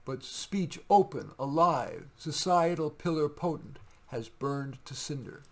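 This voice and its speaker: A man's voice: fairly high pitch, animated, a little slow, creaky. A man reading his own poetry, theatrical and emphatic in the verse, with casual asides in between. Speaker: poet reading own work.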